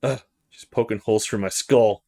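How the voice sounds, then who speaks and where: A man's voice: on the low side, steady tone, moderate pace, mellow. A man narrating and reading aloud a visual novel as a let's play host.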